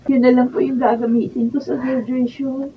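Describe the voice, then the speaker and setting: High-pitched actress as a Filipino daughter, muffled. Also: female voice, flat delivery, moderate pace, lightly acted. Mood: gentle, resigned. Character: young, self-sacrificing, gentle student daughter. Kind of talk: Filipino radio drama acting. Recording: muffled distant mic, faint noise.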